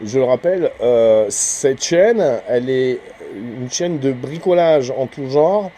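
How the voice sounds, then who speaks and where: A man's voice: medium pitch, expressive, takes it slowly, mellow, a little hiss or hum. A man giving a casual, rambling hobbyist vlog commentary, hesitant and often trailing off with little tag words. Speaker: amateur CB radio hobbyist and channel host.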